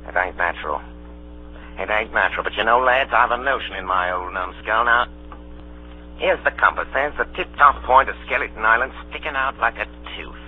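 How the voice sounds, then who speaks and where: An actor playing a sly, scheming old ringleader in a radio drama. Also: male voice, on the low side, brisk, a little hiss or hum, close to an everyday voice. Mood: scheming, grim. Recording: old tinny radio broadcast, music behind.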